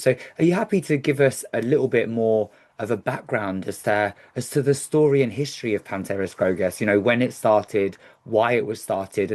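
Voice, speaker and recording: Male panel host, lowish pitch, welcoming and conversational, speaking on an online call. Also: a little mellow. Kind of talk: warm-up interview questions in an online panel.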